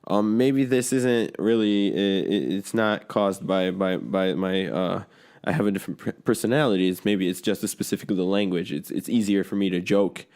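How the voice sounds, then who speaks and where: A man's voice: low-pitched, normal speed, a little mellow. A man who speaks Polish at home, co-hosting a podcast in casual, rambling chat full of restarts and fillers. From grew up between a Polish home and an English-speaking school. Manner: rambling, many restarts and fillers, swears freely. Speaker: podcast co-host.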